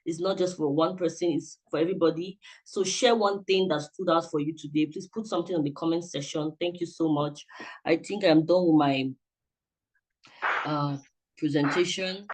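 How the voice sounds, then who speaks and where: A man's voice: a little high, fairly even intonation, moderate pace, poor audio. A man giving a motivational talk as a presenter at an online summit, earnest and coaching, often repeating himself, speaking into a computer mic on a video call. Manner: earnest, repetitive, coaching directly.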